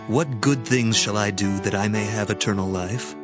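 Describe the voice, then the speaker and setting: A man's voice: lowish voice, moderate pace, over music, natural voice. A man playing an earnest, wealthy young seeker in a dramatized scripture. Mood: earnest questioning.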